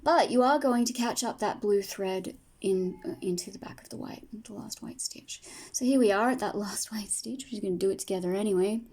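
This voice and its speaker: A woman teaching crochet step by step, patient and soft-spoken, her voice tired and fading from a long day of talking. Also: medium pitch, a little fast, few pauses. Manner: soft, patient, talks through each stitch. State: losing her voice. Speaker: crochet tutor. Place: home table, indoors.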